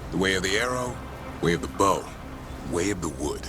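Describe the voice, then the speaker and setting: An actor playing a proud, adventurous elf monster hunter in a video game, talking in short, clipped sentences. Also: male voice, sits low, lively intonation, brisk, music in the background. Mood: earnest, resolute. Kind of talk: video game dialogue acting.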